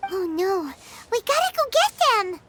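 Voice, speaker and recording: A woman voicing a small, excitable, girlish fairy companion in a video game, squeaky and childlike, eager and naive. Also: normal speed, a little hiss or hum. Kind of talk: English video game voice acting.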